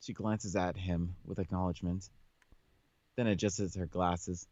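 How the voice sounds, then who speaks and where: A man's voice: low-pitched, flat delivery, unhurried, darkish tone, dry recording. Man narrating, dry slightly muffled recording. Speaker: game playthrough host and narrator. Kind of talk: reading visual novel narration aloud, casual commentary.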